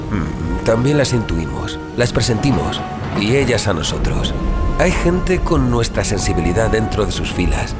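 An actor from an audio drama playing an earnest, haunted survivor and reformed troublemaker, confiding in colloquial Spain Spanish. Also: male voice, lowish voice. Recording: dramatic music and storm sounds behind.

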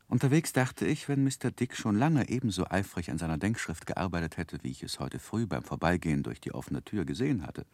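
A man playing a thoughtful first-person narrator who recounts his boyhood, with measured, articulate delivery in a radio drama. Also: sits low, a little mellow, no room sound, heavily acted. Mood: reflective. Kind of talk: scripted literary narration in 1960s German radio play.

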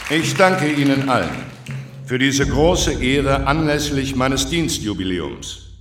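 An actor playing a self-important older justice minister with a formal, commanding manner in a radio drama. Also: male voice, mid-range voice, sing-song, takes it slowly, echoey room, over music, crowd noise. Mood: solemn, self-satisfied. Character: pompous older justice minister, a guilty former judge. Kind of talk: German radio crime drama acting.

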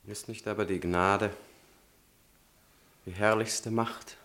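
An actor playing a polite, persuasive young student who serves as a bodyguard in a German radio drama, deferential and measured. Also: male voice, lowish voice, steady tone, fairly bright tone. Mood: urging, deferential. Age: young adult.

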